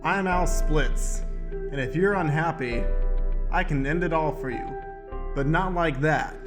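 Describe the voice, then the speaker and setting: A man playing a slick divorce attorney giving a salesman-like pitch in a radio advert. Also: measured pace, music in the background, close to an everyday voice. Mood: slick, salesy.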